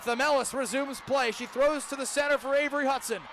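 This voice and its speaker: A young male radio broadcaster with an unusually high-pitched voice calls live sport. He follows the action closely in dramatic, detailed phrases. Outdoor stadium ambience sits faintly behind him. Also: steady tone, brisk, fairly bright tone, a little hiss or hum. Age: young adult. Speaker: play-by-play broadcaster.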